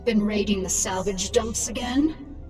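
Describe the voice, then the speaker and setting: A woman's voice: medium pitch, fairly even intonation, measured pace, a little mellow, distant mic, soft background music, natural voice. Sardonic, ruthless alien swarm queen played by a woman. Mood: mocking, menacing. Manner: sardonic, menacing. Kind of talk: dramatic game dialogue.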